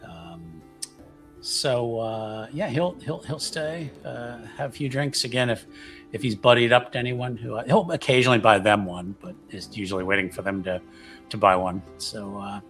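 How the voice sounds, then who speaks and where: A man's voice: mid-range voice, fairly even intonation, normal speed, fairly bright tone, soft background music. A man playing a tabletop roleplaying game, chatting informally as he describes what his character does.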